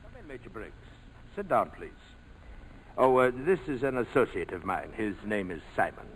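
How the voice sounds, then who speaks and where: Oily businessman, husky, animated intonation, courteous, sly, old 1940s radio drama. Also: on the low side, moderate pace, low-quality recording. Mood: smooth, sly. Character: suave, sly, shady businessman employer. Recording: vintage 1940s radio broadcast.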